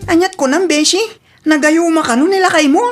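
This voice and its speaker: A woman's voice: extremely high pitch, fairly quick, faint music behind. A woman playing a cheeky, gossipy and supportive best friend in a radio comedy drama, chummy, with an Ilocano accent. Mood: gossipy, amused.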